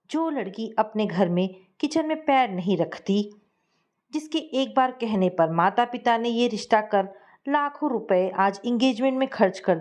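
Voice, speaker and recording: A woman narrating a scripted family story in a steady, measured reading style. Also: a little high.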